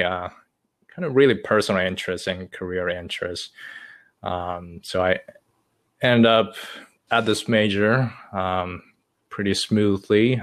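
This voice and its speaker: A man's voice: low voice, a bit bright. A man in his twenties from China, whose first language is Chinese, telling his story in casual chat with frequent hesitations. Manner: hesitant, many uh fillers, long-winded. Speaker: podcast guest.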